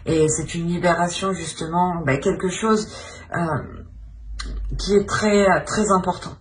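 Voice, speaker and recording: Low-pitched woman reading tarot, noisy recording. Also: fairly even intonation, takes it slowly. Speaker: tarot reader, channel host. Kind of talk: improvised tarot reading addressed to the viewer.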